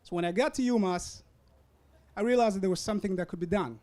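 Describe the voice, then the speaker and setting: High-voiced young Rwandan man speaking. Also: fast, darkish tone. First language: not English. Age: young adult. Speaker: student speaker. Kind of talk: prepared public talk. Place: university event tent. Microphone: hand-held or stand mic.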